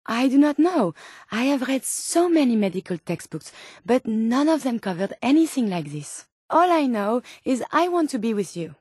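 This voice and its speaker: A woman's voice: fairly high pitch, very animated, normal speed, darkish tone, slightly rough, no echo, clean studio sound. An actress voicing a polite, curious female robot scientist from a video game, with a French accent and formal, precise, earnest phrasing. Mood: flustered, emotional. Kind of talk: video game companion dialogue.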